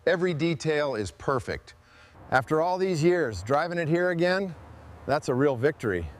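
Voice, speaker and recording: A man's voice: on the high side, very animated, moderate pace. A man hosting a restoration video, giving hands-on workshop commentary.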